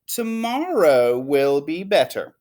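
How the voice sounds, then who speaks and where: Woman as male schoolteacher, storybook figure, kindly, encouraging, exclamatory, very animated. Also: deep voice, takes it slowly, heavily acted. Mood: kind, reassuring.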